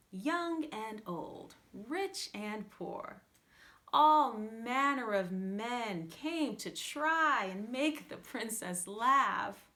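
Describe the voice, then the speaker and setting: A woman reading a fairytale aloud as a children's storyteller, with theatrical, drawn-out emphasis. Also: on the high side, bright, forceful tone. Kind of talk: scripted fairytale narration for children.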